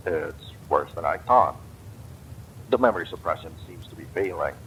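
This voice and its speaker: A man voicing a calm, grave administrator of a space pod, speaking in a measured, matter-of-fact way. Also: low voice, slight background noise, low-quality recording.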